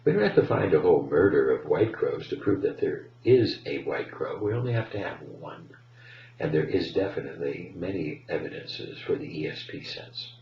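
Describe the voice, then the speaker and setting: Guy lecturing, kinda far from the mic and roomy, very up and down pitch, emphatic declarations. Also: very animated, normal speed, vocal fry. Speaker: presenter. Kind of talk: lecturing commentary. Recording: echoey, distant, dull with faint noise.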